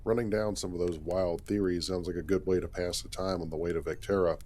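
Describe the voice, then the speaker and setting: A man's voice: sits low, steady tone, a little fast, a little mellow, lightly acted. A man playing an easygoing, curious spaceship captain in a sci-fi game story, talking casually and thinking aloud. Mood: friendly. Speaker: actor voicing a game character in a fan story. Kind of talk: conversational character acting. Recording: clearly noisy background.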